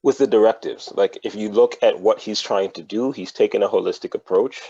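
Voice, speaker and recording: A man's voice: on the low side, brisk, warm tone, breathy edge, dry recording, muffled recording. A man speaking as a podcast guest in an earnest, thoughtful way, often repeating words as he thinks. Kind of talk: casual podcast chat.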